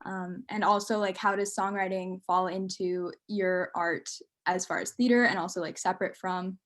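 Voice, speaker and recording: Enthusiastic woman asking a question, mid-pitched. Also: fairly even intonation, moderate pace. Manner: gushing, enthusiastic. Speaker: audience questioner. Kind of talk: casual question.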